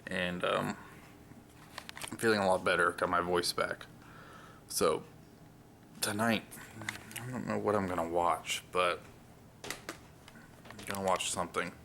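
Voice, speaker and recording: A man's voice: low-pitched, steady tone, slow pace, gravelly, long pauses, sharp tone, a little hiss or hum. A young man chatting casually in a vlog, rambling and hesitant with lots of fillers, while sick and getting over a lost voice. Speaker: vlogger.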